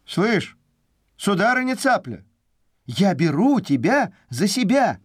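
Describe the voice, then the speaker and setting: A man's voice: high-pitched, takes it slowly, breathy edge, no echo, studio quality, voice pushed up, heavily acted. A man voicing a proud, fickle crane courting in a folksy, courtly way in an animal tale. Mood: courting, coaxing. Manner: folksy, courtly, expressive intonation.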